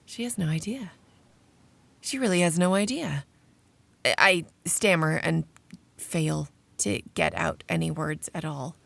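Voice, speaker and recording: A woman's voice: sits low, big pitch swings, unhurried, vocal fry, pauses often, fairly bright tone, no echo, heavily acted. A woman playing a shy, awkward vampire researcher in an audio drama, narrating in the first person with dry, self-deprecating asides. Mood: wry, uneasy.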